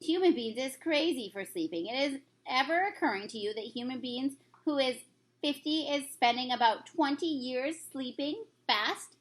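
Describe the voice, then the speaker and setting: A woman's voice: high-pitched, lively intonation, moderate pace. A woman voicing a friendly, bossy, centuries-old giant from a storybook, with folksy muddled grammar. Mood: matter-of-fact. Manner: folksy, garbled grammar with invented words.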